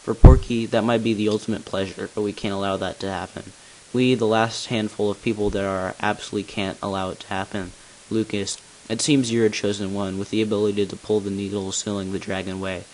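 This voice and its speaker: A man acts out a grave, weary village elder from a video game. The voice is low and stays very level, explaining things in a solemn, measured way. A faint hiss sits behind the amateur recording. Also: flat delivery, lightly acted, low-quality recording. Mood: earnest, urging. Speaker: amateur voice actor auditioning. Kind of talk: scripted dramatic monologue, game narrative. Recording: hissy home microphone recording.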